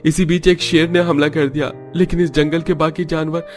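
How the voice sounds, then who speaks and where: Guy voicing a loving young husband in a cartoon, polite and gentle, lightish high voice. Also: flat delivery, moderate pace, faint music behind, close to an everyday voice. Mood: grateful. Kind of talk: Hindi cartoon story dialogue.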